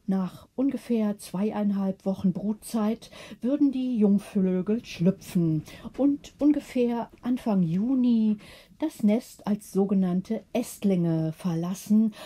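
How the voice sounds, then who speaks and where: Female audiobook narrator: scripted bedtime tale, measured and expressive, slow pace, gentle dark tone. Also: medium pitch, slightly rough.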